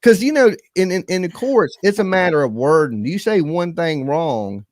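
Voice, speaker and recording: A man's voice: on the high side, animated, moderate pace, no echo, clean studio sound. A man co-hosting in loose casual chat, joking and slangy with lots of fillers. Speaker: co-host.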